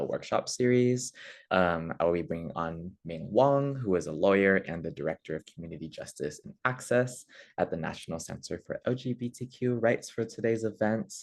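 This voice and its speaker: A woman hosting an online webinar on a video call, friendly and upbeat, with lots of "um"s and "super excited" enthusiasm. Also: extremely low pitch. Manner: upbeat, friendly, many fillers. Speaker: webinar host, community education manager.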